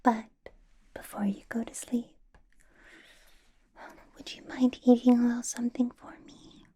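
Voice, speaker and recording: Woman playing a slow, whispering, possessive vampire lady. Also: a little high, flat delivery. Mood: coaxing, possessive. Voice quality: breathy soft whisper. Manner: slow, intimate, flirtatious, coaxing. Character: doting, possessive female vampire boss, smitten and coaxing. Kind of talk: ASMR roleplay, personal attention.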